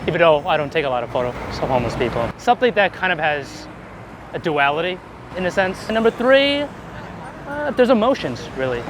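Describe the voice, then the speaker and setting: A man's voice: fairly high pitch, sing-song, brisk. A man being interviewed as a guest, chatting casually and informally on a busy city street. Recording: noisy outdoor city street with traffic.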